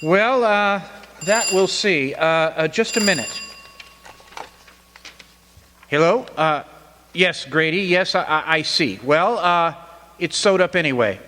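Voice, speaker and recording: A man plays a police inspector in a radio mystery, questioning suspects with deliberate, halting phrasing. His voice is on the high side, and it rings in a reverberant theatre hall. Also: lively intonation, bright, forceful tone, slight background noise. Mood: firm. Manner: hesitant, deliberate, frequent uhs. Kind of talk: old-time radio mystery acting. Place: community theatre stage. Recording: echoey hall stage recording.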